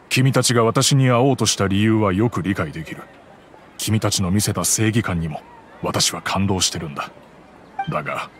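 Man as low-voiced, grave middle-aged gentleman. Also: slight background noise, natural voice. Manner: formal, deliberate, guarded. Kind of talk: anime game voice acting. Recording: faint outdoor ambience behind voice.

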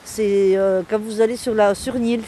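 A woman's voice: medium pitch, steady tone, measured pace, mellow, slight background noise. An older woman from southern France, interviewed outdoors in her village, recalls her childhood hesitantly and fondly, with frequent fillers. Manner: hesitant, fond, many fillers and "voilà". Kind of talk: street interview reminiscing.

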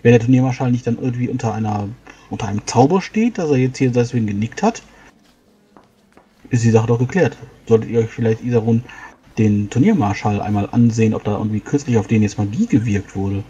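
Fast-talking man with a mellow voice. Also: mid-range voice, highs cut off. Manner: quick, reasoning aloud with fillers. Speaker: player. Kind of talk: in-character role-play.

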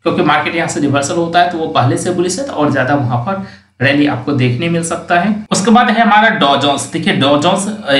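A man's voice: fairly high pitch, fairly bright tone. A man narrating a market chart walkthrough, explaining each index and reading out price levels as he points at the screen. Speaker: stock market analyst. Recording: roomy, distant mic.

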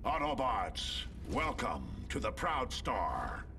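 A noble robot leader in a video game, solemn and authoritative. He speaks slowly with big dramatic swings in pitch, slightly distant under music. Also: huge pitch swings. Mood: grave. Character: noble, commanding heroic male robot leader. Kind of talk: heroic video game cutscene acting.

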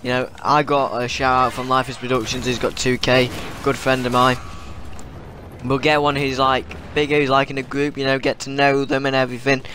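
Teen gamer with a cold. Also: male voice, mid-range voice, level tone, a little fast, pushed and bright, a little hiss or hum. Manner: rambling, repeats himself, frequent restarts. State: has a cold. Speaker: gaming YouTuber. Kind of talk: casual vlog commentary over gameplay.

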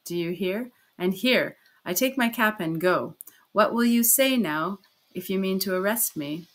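A woman's voice: medium pitch, measured pace, lightly acted. A woman voicing a furious, haughty and tormented male suspect in an audiobook, emphatic and accusing. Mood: defiant rage. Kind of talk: dramatized audiobook character reading.

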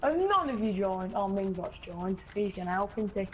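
A man's voice: high voice, sing-song, moderate pace, fairly bright tone, a little hiss or hum, rough recording. A young male gamer giving orders in casual game chat, often exasperated. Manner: bossy, exasperated.

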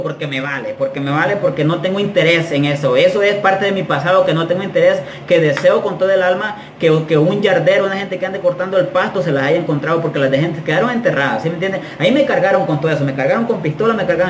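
A man in his thirties talks straight to the camera, frank and full of slang, his voice sitting a little high. The small tiled room around him rings with echo, and a faint hiss sits underneath. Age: 30s. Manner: animated, gestures a lot, slangy and frank. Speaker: vlogger. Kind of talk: casual storytelling to camera. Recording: echoey room, faint background noise.

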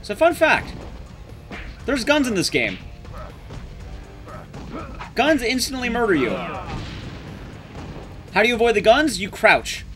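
A man's voice: very high voice, big pitch swings, slow, long pauses, fairly bright tone, soft background music. A man in his thirties giving casual, joking live game commentary and chatting with his viewers. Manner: casual, joking, swears mildly. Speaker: streamer and speedrunner. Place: small indoor room at home, streaming.

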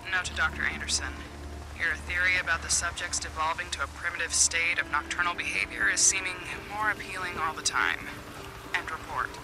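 An actor playing a male field scientist who dictates a report in a measured, clinical way. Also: fairly high pitch, gravelly, background noise. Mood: dictating. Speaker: field researcher. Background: loud game music.

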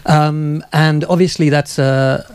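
A man's voice: fairly high pitch, fairly even intonation, slow, clear, resonant tone, no room sound. A man in his thirties conducting a literary interview, asking long, thoughtful questions with hesitant phrasing. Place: small crowded bookshop, live event. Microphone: handheld microphone.

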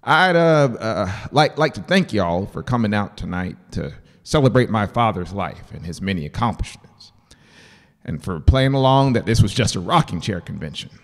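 A man voicing a folksy, emotional uncle in an audiobook, making a halting, heartfelt speech to a party. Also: fairly low pitch, animated, big-room sound. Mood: jovial, nervous. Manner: halting, heartfelt public address. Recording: music playing behind the voice.

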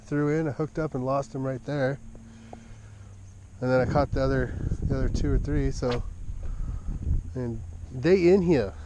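A man vlogging while he fishes, chatting and joking with a friend, excited and swearing now and then. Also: medium pitch, a little slow, long pauses. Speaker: fishing vlogger. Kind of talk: casual chat while fishing. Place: outdoors on a pond, from a small boat and the shore. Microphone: action camera worn on the body. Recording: outdoor action camera with background noise.

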